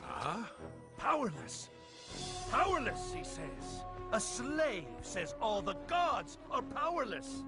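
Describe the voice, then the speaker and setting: A villainous, excitable Meccan nobleman from an animated film. His high voice swings hugely with mocking theatrics. Music sounds behind him. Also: takes it slowly, heavily acted. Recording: background music under the voice.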